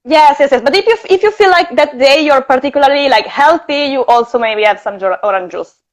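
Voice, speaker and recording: A young woman with an Italian accent talks in a loose, chatty way, trailing through fillers and little laughs. Her voice sits fairly high. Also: animated, brisk. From Italy. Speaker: guest. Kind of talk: casual chat.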